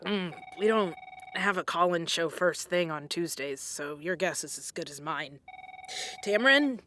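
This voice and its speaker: Woman acting a tired hungover station manager for an audio comedy, talks slow, kinda dry and groggy. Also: on the low side, animated, a bit bright, slight background noise. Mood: dry, irritated. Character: hungover, weary young woman running a small radio station. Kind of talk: naturalistic comedy audio drama.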